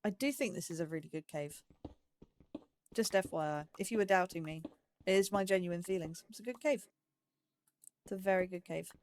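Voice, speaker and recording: A woman's voice: on the low side, normal speed, pauses often. A woman giving casual let's play commentary, chatting and musing aloud in a self-deprecating way. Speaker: gaming YouTuber, host of a let's play series.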